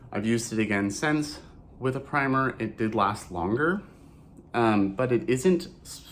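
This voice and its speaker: A man with a hoarse voice, recovering from a cold, chatting casually through a makeup review vlog and often correcting himself mid-sentence. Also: lowish voice. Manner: chatty and rambling, with frequent self-corrections.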